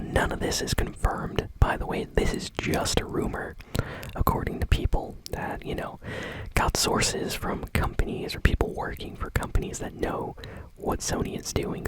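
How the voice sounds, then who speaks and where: A woman hosting gaming news in an ASMR style, talking softly, informally and without hurry. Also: rough recording. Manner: soft, unhurried, informal. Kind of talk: whispered ASMR news commentary.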